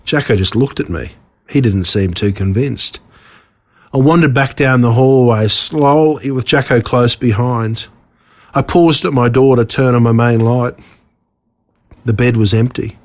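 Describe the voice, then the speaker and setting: An Australian man reads a children's story as a narrator, expressively and with dramatic pauses on key words. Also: mid-range voice. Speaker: author reading own story.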